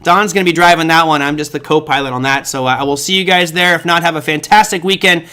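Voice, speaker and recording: A middle-aged man hosts a live stream and chats loosely with his viewers, joking and wandering off topic with plenty of ums and uhs. His voice is bright and forceful and sits a little high for a man. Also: fast talker, smooth. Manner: chatty, jokey, rambling with many fillers. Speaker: host of a trading livestream. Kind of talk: casual livestream chat answering viewers.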